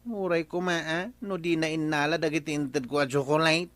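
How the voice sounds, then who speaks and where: A man's voice: fairly high pitch, fairly even intonation, fairly quick, fairly bright tone, no room sound, close to an everyday voice. A man with an Ilocano accent playing a joking, grumbling village man in a radio comedy drama.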